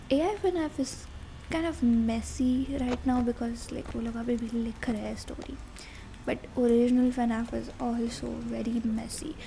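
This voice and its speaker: A woman with an Indian accent doing a soft, whisper-edged ASMR chat, explaining things in a rambling, informal way and mixing Hindi with English. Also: a little high, creaky, slight background noise, poor audio. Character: affectionate girlfriend chatting while playing a game. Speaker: ASMR creator.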